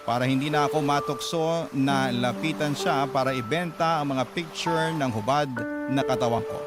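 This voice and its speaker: A man narrating his story in an earnest, confessional scripted reading. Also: a little high. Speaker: letter narrator.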